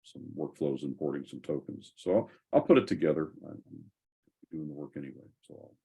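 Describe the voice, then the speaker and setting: A man hosting a casual online community call, speaking in measured, hesitant phrases. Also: very deep voice, vocal fry, dark tone, breathy edge. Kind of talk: casual video call discussion.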